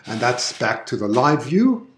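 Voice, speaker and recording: A man's voice: medium pitch, big pitch swings, unhurried, echoey room. German-born man with a mixed accent. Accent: mixed international with German roots. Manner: chatty, enthusiastic, restarts words often. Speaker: livestream host showing an eclipse. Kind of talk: live commentary. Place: outdoors in a backyard at night.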